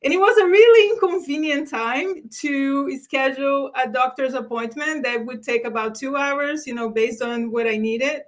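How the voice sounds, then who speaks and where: Brazilian woman guest, high voice, earnest and reflective, lots of restarts. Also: normal speed, few pauses. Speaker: guest expert, career strategist. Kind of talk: conversational interview answers.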